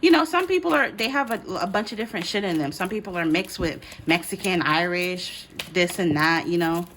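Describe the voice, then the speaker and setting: A woman's voice: on the low side, expressive, brisk, fairly bright tone, a little hiss or hum. A woman from the United States and Ghana, giving a casual, conversational card reading as she speaks directly to her listeners. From born in the United States, grew up in Ghana. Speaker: host, tarot card reader.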